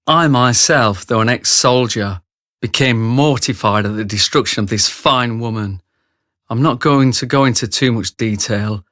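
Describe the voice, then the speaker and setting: Man acting rough ex-soldier narrator in a radio play, mid-pitched, talks right at you, very dry sound. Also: moderate pace, a bit bright, no room sound, lightly acted. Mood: grave, confiding. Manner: grave, confiding, addresses the listener directly. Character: remorseful thick brute ex-soldier, male confessional narrator.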